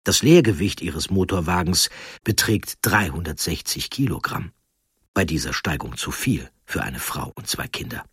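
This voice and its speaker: A man narrating a historical documentary in a calm, explanatory way. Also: low voice, moderate pace, husky, dry recording, studio quality. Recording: narration over music.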